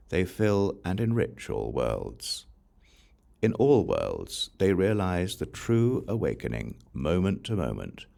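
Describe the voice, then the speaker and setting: A man with an extremely low voice reads sacred text for an audiobook. His delivery is solemn and slow, set out in deliberate phrases, with lively rises and falls in pitch. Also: vocal fry. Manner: measured, deliberate phrasing with pauses. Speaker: audiobook reader. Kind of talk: solemn scripted reading of scripture.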